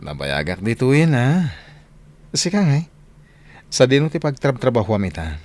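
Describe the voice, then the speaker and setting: A man's voice: mid-range voice, big pitch swings, normal speed, a little mellow, natural voice. An actor playing a patient, earnest young working man in a radio drama, with a Northern Philippine Ilocano accent. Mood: friendly. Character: hardworking, patient young man Saldy, away from his sweetheart.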